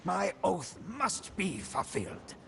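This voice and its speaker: A man's voice: high voice, very animated, creaky, slight background noise, full character voice. An actor playing an ambitious, scheming old prime minister, solemnly and theatrically narrating his life for a storybook game campaign. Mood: brooding, determined. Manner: solemn, theatrical, deliberate, with hushed line endings.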